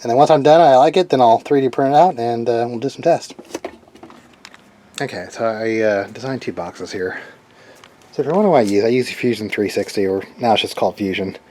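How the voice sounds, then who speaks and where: A man with a mid-pitched voice talks through a hobby project over noticeable background noise. He is informal and unscripted, wandering from thought to thought as he works things out aloud. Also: fairly quick, dark tone. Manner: rambling, informal, thinks aloud. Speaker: DIY radio hobbyist presenting own project. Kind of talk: casual, unscripted project narration. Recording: clearly noisy background.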